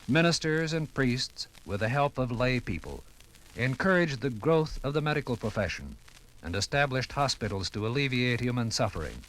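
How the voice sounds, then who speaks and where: A man giving scripted 1950s radio documentary narration with formal, deliberate, dramatic phrasing. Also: medium pitch, sing-song, fairly bright tone, rough recording.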